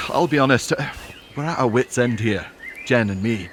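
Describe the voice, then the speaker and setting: A man's voice: medium pitch, normal speed, fairly bright tone, a little hiss or hum, lightly acted. A man acting a stubborn, friendly ex-army groundsman and husband in an audio drama, in a homely, hesitant, plain-spoken way.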